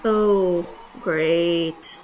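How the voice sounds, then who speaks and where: A woman's voice: fairly low pitch, fairly even intonation, drawn-out words, a little mellow, faint music behind. A woman playing a wry, reluctant reporter in a comedy sketch.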